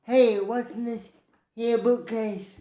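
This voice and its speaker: A woman playing a tired, good-natured, slow-witted male mover's helper in an old-time radio drama, talking in simple working-class slang. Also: a little high, steady tone, warm tone, echoey room. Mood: puzzled.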